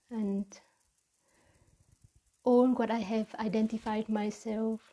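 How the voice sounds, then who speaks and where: Woman, Vietnamese accent, fairly high voice, soft mellow tone, meditation teacher, reflective, halting deliberate phrasing. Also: poor audio. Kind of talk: reflective mindfulness talk.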